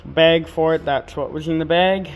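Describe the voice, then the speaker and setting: A man's voice: fairly high pitch, steady tone, normal speed, a little hiss or hum. A young man vlogging from his farm workshop, narrating casually in a laid-back, rambling way with plenty of fillers. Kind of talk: casual narration while working.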